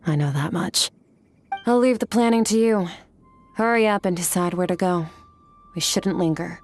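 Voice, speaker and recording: An actress playing an aloof, battle-hardened warrior in a video game, speaking in a measured, blunt way. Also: female voice, lowish voice, a little mellow, a little hiss or hum, natural voice. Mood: businesslike. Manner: measured, blunt, matter-of-fact. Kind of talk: dramatic video game dialogue.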